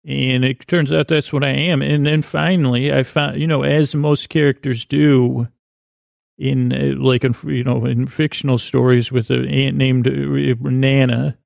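A man with a heavily creaky, gravelly voice rambles through a deadpan comic monologue in a middle pitch. He wanders from aside to aside with many restarts. The sound is very dry and close. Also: measured pace, no echo. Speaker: podcast host. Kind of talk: rambling improvised comedic monologue.

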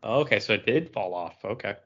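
Low-voiced man, casual podcast talk. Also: animated, quick pace, a bit bright, highs cut off. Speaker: podcast co-host. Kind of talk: casual panel chat.

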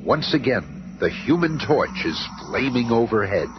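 A man's voice: medium pitch, very animated, measured pace, music in the background. A man playing a theatrical, suspenseful narrator in a 1970s superhero radio play. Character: excitable male comic-book adventure narrator. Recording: muffled, noisy 1970s radio recording.

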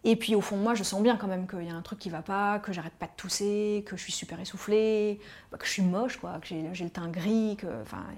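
A woman's voice: mid-range voice, fairly quick, darkish tone. A woman, candidly telling her own life story with frequent fillers. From Paris, France. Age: 30s. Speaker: tobacco cessation specialist telling her story. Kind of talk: personal storytelling podcast.

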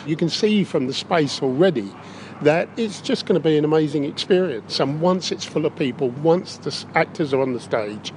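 A man answers an interviewer with upbeat enthusiasm. His voice is a little higher than average for a man. There is faint noise from the site behind him. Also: expressive, moderate pace, a little mellow, low-quality recording. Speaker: interview guest. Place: inside a big top tent in a park.

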